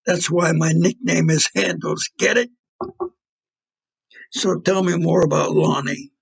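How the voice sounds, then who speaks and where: Male actor as irritable mob fixer, high male voice, husky, streetwise slang, menacing, audio play. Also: steady tone, measured pace, heavily acted. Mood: matter-of-fact, menacing. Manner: blunt streetwise slangy talk.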